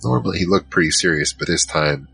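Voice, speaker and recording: A very deep, bright-toned giant troll played by a man tells his own story in an audio drama over music. He is a worried, soft-hearted soul who sounds both earnest and uneasy. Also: animated, fast, vocal fry, full character voice. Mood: recounting, uneasy. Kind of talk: audio drama narration and dialogue. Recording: music behind.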